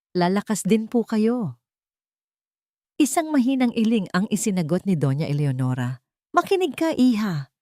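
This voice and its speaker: A woman narrating a romantic audio drama in a calm, measured storytelling manner. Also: on the low side, no room sound, studio quality.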